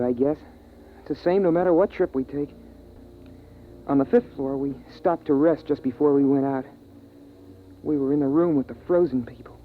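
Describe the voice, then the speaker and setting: A man's voice: medium pitch, a little hiss or hum, close to an everyday voice. A young male actor playing an earnest, wondering boy in a 1950s radio drama, with plain, homespun phrasing. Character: earnest, wondering young boy narrating a bleak frozen world. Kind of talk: 1950s radio drama acting and first-person narration. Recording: tinny vintage radio recording with music.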